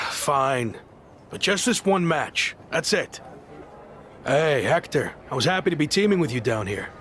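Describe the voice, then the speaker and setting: A man's voice: fairly high pitch, expressive, unhurried, a bit bright, slight background noise. A man playing a reluctant, easily annoyed young pro wrestler in video game cutscene dialogue. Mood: grudging.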